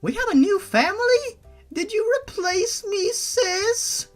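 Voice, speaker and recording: Man putting on a high, timid little girl. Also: lively intonation, slow, soft background music, exaggerated voice. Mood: tearful worry. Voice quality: falsetto, childlike. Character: sweet, timid, clingy little girl, younger sister.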